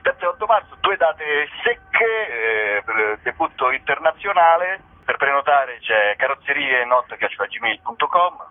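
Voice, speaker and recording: A man's voice: medium pitch, sing-song, unhurried. Male comedian, rambling and wry, promo chat over a thin telephone connection. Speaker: theatre comedian and writer presenting a show. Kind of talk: casual promotional interview. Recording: narrow-band telephone line, radio interview.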